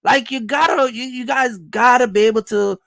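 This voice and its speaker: A man's voice: extremely high pitch, fairly even intonation, moderate pace, vocal fry, a bit bright, dry recording. A man hosting a casual Q&A for viewers, giving business advice in colloquial, slangy phrasing and repeating his points for emphasis. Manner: repetitive, emphatic urging.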